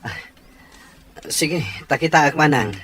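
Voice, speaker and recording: A man's voice: fairly high pitch, unhurried, a bit bright, a little hiss or hum. A man playing a dutiful, self-conscious young boyfriend and son in an audio drama, speaking hesitantly and apologetically. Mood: concerned, obliging.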